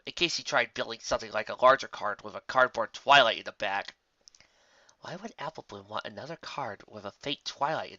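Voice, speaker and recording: Lively, bright narrator reading a story. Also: mid-range voice, dry recording. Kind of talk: scripted fan-fiction story narration. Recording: slightly muffled close dry recording.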